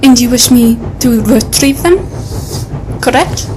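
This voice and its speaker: An actress playing a self-assured, obedient infiltrator in an audio adventure drama, crisp and formal. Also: female voice, fairly high pitch, unhurried, noisy background, close to an everyday voice. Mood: dutiful.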